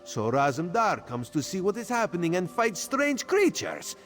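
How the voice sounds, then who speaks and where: Playful, secretive cat-man spy for a game, lively sing-song delivery, speaks of himself in third person, faint music behind. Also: high voice, normal speed. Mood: sly. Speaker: spymaster quest giver. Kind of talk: video game character dialogue.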